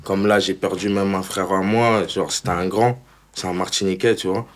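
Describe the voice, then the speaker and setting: Laid-back low-voiced young man. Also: steady tone, moderate pace. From Congo. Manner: laid-back, repeats words, laughs often. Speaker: rapper guest. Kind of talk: casual interview chat.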